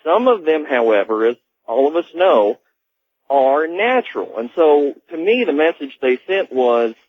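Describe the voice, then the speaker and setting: Guy phoning into a radio show, raspy, polite, kinda hesitant, thin telephone sound. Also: fairly high pitch, sing-song, a little slow, dry recording. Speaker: phone-in caller. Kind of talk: conversational opinion on a radio call-in. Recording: muffled narrow phone line on radio.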